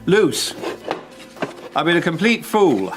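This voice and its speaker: An actor playing a contrite, flustered English husband in his sixties, halting and apologetic, in a naturalistic radio drama. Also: a little high, big pitch swings, over music. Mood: frantic remorse. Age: 60s.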